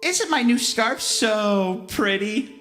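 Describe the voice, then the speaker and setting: A man's voice: high-pitched, lively intonation, slow, pushed and bright, soft background music, pitched above the performer's own voice, full character voice. A man voicing a gleeful, cruel young female cartoon pony in a playful sing-song way. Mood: gleeful, taunting.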